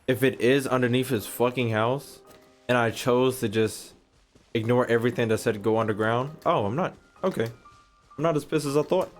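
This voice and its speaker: A man's voice: medium pitch, normal speed. Male game streamer, young adult, American accent: casual, sweary, jokey commentary in a medium register. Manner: slangy, profane, joking, repeats lines back. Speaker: gaming streamer. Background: faint game music.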